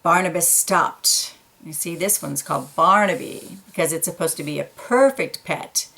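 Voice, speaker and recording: A woman reading a children's picture book aloud, pausing for quiet asides about the pictures. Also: sits low, gravelly. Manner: slow, gentle, pointing out pictures in quiet asides.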